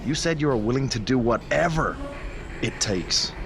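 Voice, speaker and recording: An actor playing a steady, intense wrestler ally with a measured, serious manner in a video game cutscene. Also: male voice, medium pitch, lightly acted. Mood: intense encouragement.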